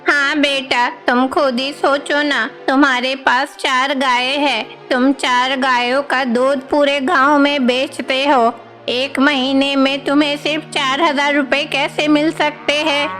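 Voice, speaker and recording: A woman's voice: sits high, little pitch movement, measured pace, fairly bright tone, faint music behind. A woman voicing a concerned village grandmother who scolds and questions as she advises, in a cartoon story. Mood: scolding concern. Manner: admonishing, questioning.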